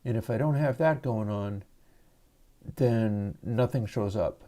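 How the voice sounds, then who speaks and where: Male livestream host, soothing and mellow, easygoing explainer on a lav mic. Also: on the low side, slow. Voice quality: soft, soothing voice. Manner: relaxed, rambling, explains gear step by step. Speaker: livestream host and audio developer. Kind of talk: casual livestream demo. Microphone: lavalier mic.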